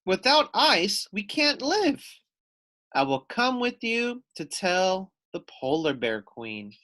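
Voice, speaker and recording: A man's voice: high-pitched, big pitch swings, slow pace, bright, forceful tone, pitched above the performer's own voice, heavily acted. A man voicing a dramatic, easily alarmed puffin from a storybook with exclamatory delivery.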